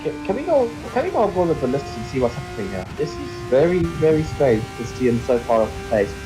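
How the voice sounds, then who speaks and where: A man co-commentates an online sim race with short, brisk remarks. His voice sits at an ordinary pitch. Music plays clearly underneath him. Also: very animated, poor audio.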